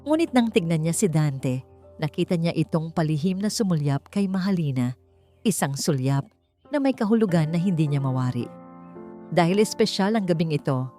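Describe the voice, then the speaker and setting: Low-voiced Filipino woman narrating over music. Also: animated, moderate pace, warm tone. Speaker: audiobook narrator. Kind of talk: dramatic scripted narration of a romance drama story. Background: background music.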